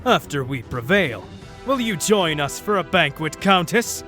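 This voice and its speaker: A man's voice: a little high, very animated, measured pace, bright, forceful tone, soft background music, heavily acted. A man voicing a hearty, boastful Viking dwarf smith, cheerful and battle-hardened, in a fantasy fan dub. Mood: rousing.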